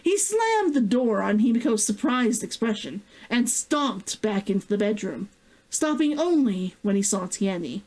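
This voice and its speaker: A woman reading a novel aloud as its author, in an expressive audiobook-style narration. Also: medium pitch, measured pace, low-quality recording.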